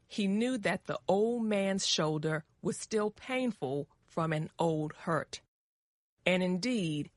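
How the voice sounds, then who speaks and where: A woman narrating a scripted story for English learners, measured and carefully articulated. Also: lowish voice, no echo, studio quality.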